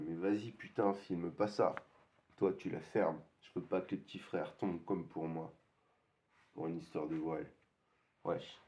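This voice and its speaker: A man's voice: low-pitched, mellow. A man in his thirties performing a rhythmic rap freestyle with a recurring hook, on a dull lo-fi recording. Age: 30s. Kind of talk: rap freestyle over a beat.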